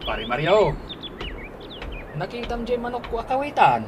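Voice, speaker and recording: An actor playing a rural village neighbour in an Ilocano comedy radio drama. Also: male voice, very high voice, big pitch swings, moderate pace. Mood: inquiring. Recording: noisy track with birds and roosters.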